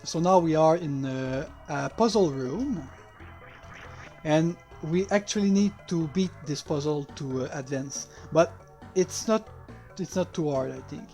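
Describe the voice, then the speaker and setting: Male game developer, native French speaker with French accent; unhurried, halting delivery of casual playthrough commentary, music clearly behind. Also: on the high side, warm tone. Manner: halting, hesitant non-native English.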